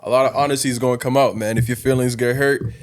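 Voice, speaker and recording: A man's voice: mid-range voice, flat delivery, fast talker, a little hiss or hum. A man from South Sudan talking casually and informally as a podcast guest, with slang and frequent "you know". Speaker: guest, college basketball coach.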